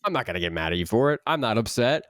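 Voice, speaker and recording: A young man, a voice actor, chatting casually in an interview, animated and full of restarts. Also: medium pitch, very fast, dry recording, studio quality. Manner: animated, many restarts, rising for emphasis. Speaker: voice actor guest.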